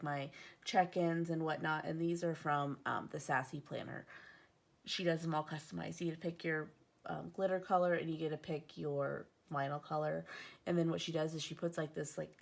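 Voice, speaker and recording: Casual home vlogger, woman in her thirties; gravelly, slightly stuffy, wanders off topic. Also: sits low. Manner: chatty, rambling, digresses often. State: stuffy from allergies. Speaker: planner vlogger. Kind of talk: casual chat while decorating a planner. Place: home, indoors.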